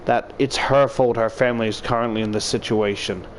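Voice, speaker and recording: A man's voice: medium pitch, fairly even intonation, a little fast, slight background noise, low-quality recording. A man narrating a first-person story dramatically and expressively, as if reading a visual novel aloud. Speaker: visual novel reader, YouTuber. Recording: loud background music. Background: loud music.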